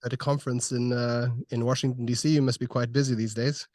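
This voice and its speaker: A man hosts an interview in a medium-pitched voice. He builds his questions haltingly, pausing often as he searches for the phrasing. The sound is dry, with little room echo. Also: little pitch movement, a little mellow. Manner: halting, hesitant phrasing with many pauses. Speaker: interviewer, host.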